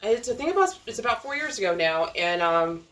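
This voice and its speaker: A woman's voice: mid-range voice, sing-song, a little fast, a bit bright, rough recording. A woman from New Jersey, a guest on a podcast, talking frankly in casual conversation.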